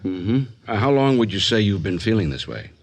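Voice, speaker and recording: An actor playing a composed male psychiatrist who narrates in a measured, clinical manner for a radio drama. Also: on the low side, sing-song, vocal fry, dry recording, full character voice. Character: composed male psychiatrist recounting a strange case.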